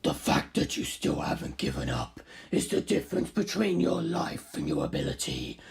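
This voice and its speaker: A narrator voicing an arrogant, ancient superhuman male villain in a story, with a slow, gravelly, scornful delivery. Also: huge pitch swings, exaggerated voice. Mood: scornful lecturing. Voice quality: very creaky, gravelly low rasp, breathy. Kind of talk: dramatic audiobook character acting.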